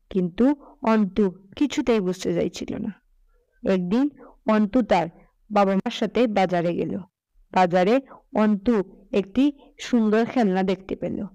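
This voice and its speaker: A woman's voice: mid-range voice, a little mellow, no room sound, dull audio. A woman narrating a scripted children's story.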